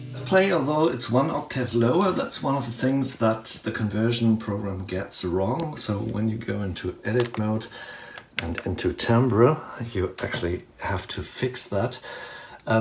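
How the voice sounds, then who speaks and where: Guy with a lowish voice doing an off the cuff gear demo, roomy echo, bit of noise. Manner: thinking aloud while operating gear. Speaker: demonstrator of a synthesizer patch. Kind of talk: hands-on tutorial talk, unscripted. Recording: roomy echo, noticeable background noise, clicks.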